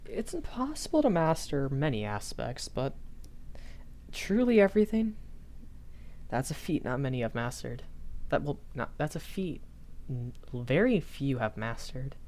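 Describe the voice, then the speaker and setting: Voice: medium pitch, sing-song, measured pace, a little mellow. A performer voicing a shy, earnest young magic academy professor in a rambling audio roleplay, hesitant and often self-correcting. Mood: thoughtful. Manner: hesitant, self-correcting, apologetic, nervous chuckles. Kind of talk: improvised character audio roleplay, rambling lecture.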